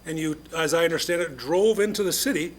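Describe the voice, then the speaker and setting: A man's voice: on the high side, fast talker, a little hiss or hum. A man chairing a city council meeting, interviewing exchange students in a jokey, upbeat way.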